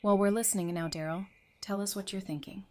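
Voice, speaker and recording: A woman's voice: medium pitch, fairly even intonation, fairly quick, darkish tone, lightly acted. A woman playing a friendly, level-headed survivor and peacemaker in an audio drama, conversational and earnest. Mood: conciliatory.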